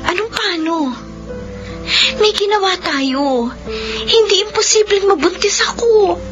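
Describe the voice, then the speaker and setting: A woman's voice: very high voice, lively intonation, measured pace, highs cut off, over music. A woman playing an anxious pregnant young woman in a radio drama, earnest, insistent and emotional. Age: young adult. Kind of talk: Filipino radio drama acting.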